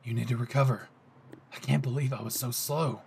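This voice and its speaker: Guy doing a gruff cocky young hero, possessive and sweary, audio roleplay, noisy background. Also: medium pitch, fairly even intonation, a little fast, darkish tone, lightly acted. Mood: bitter, intense. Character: brash, possessive young male hero, gruff and foul-mouthed. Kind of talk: dramatic audio roleplay acting addressed to the listener.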